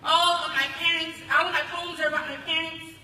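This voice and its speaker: An American woman performing spoken word poetry live with expressive, emphatic delivery into a stage microphone. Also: very high-pitched, a little fast. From Los Angeles, United States. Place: large hall at a conference ceremony. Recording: echoey hall, distant, faint noise.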